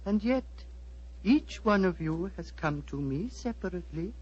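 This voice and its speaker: Husky, slow, ancient abbot. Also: male voice, high-pitched, expressive, darkish tone. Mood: solemn, slow. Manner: slow, measured, formal. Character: very aged, wise male head abbot, solemn. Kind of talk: 1940s radio acting. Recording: muffled 1940s radio recording.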